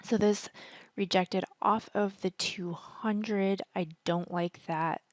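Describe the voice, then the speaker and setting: A woman with a husky, partly hoarse voice, chatting casually and thinking aloud as she hosts a stock market screen-share commentary. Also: mid-range voice, fairly even intonation, takes it slowly, dry recording. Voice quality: husky, worn voice. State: partly hoarse from singing at a concert. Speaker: stock trading educator, video host.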